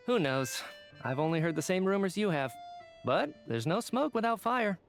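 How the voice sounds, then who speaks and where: A man playing an earnest, chatty young government researcher in a video game, oblivious and expressive. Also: high voice, normal speed, faint music behind. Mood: musing.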